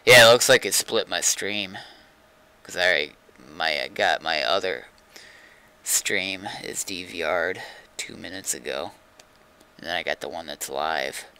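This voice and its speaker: Very low, creaky male game streamer. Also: a little slow, pushed and bright, rough recording. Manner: rambling, thinking aloud. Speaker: livestreamer. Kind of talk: casual livestream chat while gaming.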